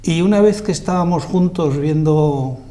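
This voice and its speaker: A man with a Madrid accent, a guest telling stories about his life in a relaxed, modest way in a TV studio interview. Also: a little high, moderate pace. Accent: Madrid Spanish. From Spain, Madrid. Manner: self-deprecating storyteller, modest. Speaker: guest, lawyer and journalist.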